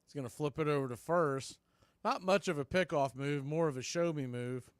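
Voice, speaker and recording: A man's voice: a little high, normal speed, clear, resonant tone, no echo, studio quality. A man doing live radio baseball play-by-play, with punchy clipped calls and conversational asides.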